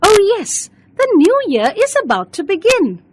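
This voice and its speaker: Woman as an eager, high-voiced young girl space traveller. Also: huge pitch swings, moderate pace, dry recording, full character voice. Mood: excited.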